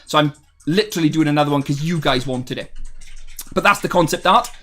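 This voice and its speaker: A man's voice: on the high side, fairly quick, bright, forceful tone. A young man hosting a live stream, chatting casually with viewers and rambling with lots of ums, perking up when people join. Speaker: streamer hosting a game development talk. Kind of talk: casual live stream chat, reading chat messages aloud.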